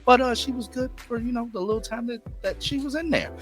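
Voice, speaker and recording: A man's voice: very high-pitched, brisk, a bit bright. A man hosting an informal, unscripted movie review, speaking enthusiastically and emphatically and repeating phrases to stress his points. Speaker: movie reviewer and channel host. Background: background music.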